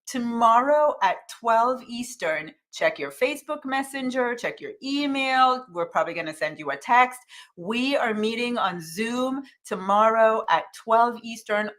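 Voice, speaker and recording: A woman's voice: fairly high pitch, fairly bright tone. A woman coach hosting a casual motivational live stream. She chats upbeat, reads out viewer comments by name, and keeps repeating calls to action. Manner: repetitive, urging calls to action.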